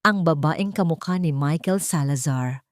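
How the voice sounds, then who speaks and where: Woman voicing a soft, insistent young mother. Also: deep voice, moderate pace, no room sound, studio quality, lightly acted. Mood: wary, insistent. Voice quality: soft but emphatic. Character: frightened, doubtful young mother demanding the truth.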